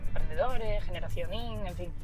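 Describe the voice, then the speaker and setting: A Spanish woman, the founder of a language academy, chatting as a guest in a car, animated and acting out her own past thoughts. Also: fairly low pitch, normal speed. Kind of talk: casual interview in a car. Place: inside a car. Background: music.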